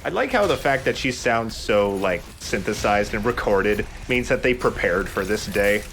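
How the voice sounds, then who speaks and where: A man's voice: fairly low pitch, expressive, normal speed, a bit bright, a little hiss or hum. A man giving casual, rambling explanations as he hosts a let's play of a video game. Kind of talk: casual gameplay commentary. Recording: game music behind the voice. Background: video game music.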